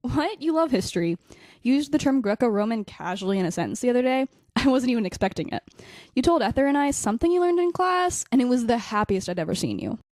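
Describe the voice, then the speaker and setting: A woman acting a caring, direct young student friend in a naturalistic audio drama, encouraging and gently insistent. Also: on the high side, very animated, fast talker, no room sound, clean studio sound. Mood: concerned, surprised.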